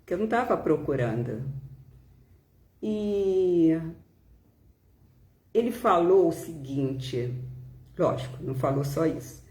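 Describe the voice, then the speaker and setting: A Brazilian woman delivers a spiritual reading and reflection. Her voice is soft-edged and mellow, and she moves extremely slowly, leaving deliberate pauses between phrases. The recording is noticeably roomy, with an audible echo. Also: low voice, expressive. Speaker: spiritist speaker. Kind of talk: devotional reading and reflective talk. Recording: roomy, echoing home recording.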